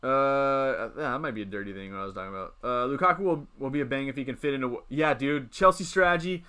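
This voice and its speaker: A young man in his twenties hosts a relaxed live stream, chatting loosely and answering viewers' messages as they come in. His voice is bright and forward, at a middle pitch, and he talks from a desk mic at home. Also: fast talker, smooth. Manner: rambling, reads chat and answers it. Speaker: livestream host. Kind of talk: casual live stream chat.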